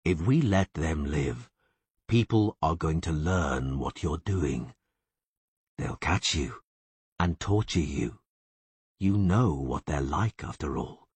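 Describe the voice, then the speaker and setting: Audiobook elf leader played by a man: cold, resolute, rough-voiced, measured and unhurried. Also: deep voice, lively intonation, no room sound, full character voice. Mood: cold warning. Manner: slow, deliberate. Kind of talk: audiobook character voice.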